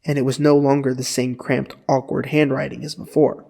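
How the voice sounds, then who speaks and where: A man narrating a dramatized literary audiobook with earnest, dramatic phrasing and deliberate pauses. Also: mid-range voice, little pitch movement, creaky, dark tone. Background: guitar music.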